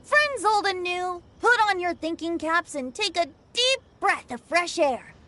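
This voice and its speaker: A woman's voice: very high-pitched, expressive, a little slow, sharp tone, exaggerated voice. A woman voicing a breezy, theatrical teenage boy bard in a video game. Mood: breezy, showy. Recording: clear background noise.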